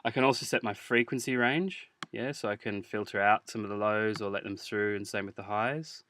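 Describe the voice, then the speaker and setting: A man teaching music software in an informal tutorial, hesitant as he thinks aloud while demonstrating. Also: fairly low pitch, fairly even intonation, a little fast. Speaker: music production instructor. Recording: clear background noise, mouse clicks.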